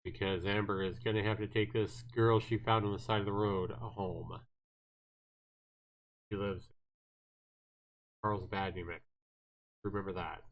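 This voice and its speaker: A man hosting a casual livestream of a visual novel, talking to viewers in a rambling, halting way and reading game text aloud. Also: fairly low pitch, little pitch movement, highs cut off.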